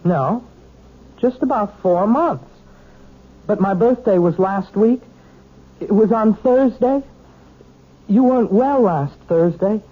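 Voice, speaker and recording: A man's voice: sits high, takes it slowly, long pauses, breathy edge, full character voice. An actor voicing an earnest, protective twenty-year-old man in an old radio drama, stammering and pressing. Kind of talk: 1970s radio acting. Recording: dull lo-fi old radio recording.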